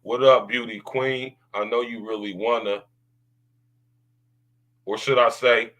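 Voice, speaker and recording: A man with a deep baritone voice hosts his podcast in a loud, drawling monologue. He leans on slang and keeps tagging his lines with questions. His tone comes through bright and forceful. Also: fairly even intonation, slow. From United States. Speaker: podcast host.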